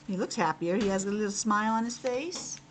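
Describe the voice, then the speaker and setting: A woman teacher reading and explaining a storybook to young children in an expressive, child-directed way. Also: medium pitch, fairly quick, slight background noise, low-quality recording. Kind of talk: storybook narration with simple explanations.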